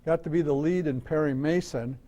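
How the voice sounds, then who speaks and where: A man's voice: a little high, brisk, dark tone, muffled recording. A man moderating a club talk, giving knowledgeable, detailed radio history off the cuff. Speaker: moderator, old-time radio expert. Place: club meeting room.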